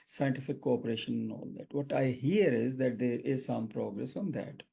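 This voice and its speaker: An older man with a Pakistani accent gives considered interview answers, deliberately, repeating words for emphasis. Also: medium pitch, creaky, a crowd in the background. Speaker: retired general as interview guest.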